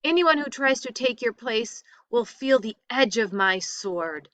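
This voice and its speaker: A woman's voice: fairly high pitch, lively intonation, measured pace, a bit bright, no room sound, pitched above the performer's own voice. A woman voicing a loyal, fiery young prince in a storybook, with emphatic delivery. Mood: angry.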